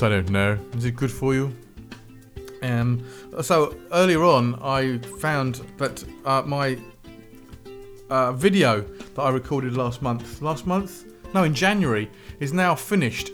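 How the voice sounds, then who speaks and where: A man's voice: mid-range voice, fairly bright tone. A man hosting a podcast in a casual, rambling way. He has a slight cold and sore throat, and he grumbles and mocks himself as he chats. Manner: chatty, rambling and grumbly with self-mocking asides. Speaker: podcast host. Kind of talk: casual podcast monologue introducing music.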